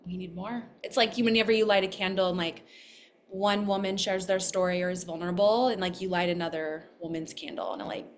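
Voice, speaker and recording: A woman's voice: on the low side, steady tone, a little fast, slight background noise. A woman hosting an interview in a casual, conversational way, peppering her informal questions with "like" and "kind of". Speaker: podcast host and interviewer.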